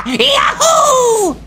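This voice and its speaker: An actor voicing a manic, greedy cartoon game villain in exaggerated, exclamatory shouts. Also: very high voice, gravelly, exaggerated voice.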